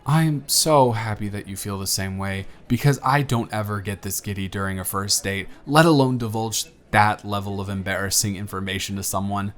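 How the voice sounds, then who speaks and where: A man's voice: fairly low pitch, moderate pace, few pauses, lightly acted. A man playing a giddy, smitten young man on a blind date, bantering playfully in a one-sided romantic audio roleplay. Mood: tender, nervous. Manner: animated, bantering, playful storytelling with stammers.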